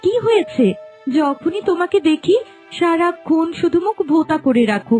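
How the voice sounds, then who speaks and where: A woman plays a young village wife in a cartoon fairy tale. Her voice is high, meek and earnest, with faint music behind it. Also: lively intonation, normal speed, darkish tone. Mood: worried. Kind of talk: dramatic Bengali fairy-tale cartoon voice acting.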